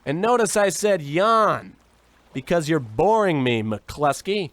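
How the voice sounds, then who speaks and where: Man acting a pompous, high-pitched blowhard adventurer. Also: animated, takes it slowly, a bit bright, no room sound. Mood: smug. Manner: pompous, bossy, theatrical. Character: pompous, self-absorbed, insulting male adventurer in a radio comedy. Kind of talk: old-time radio comedy acting.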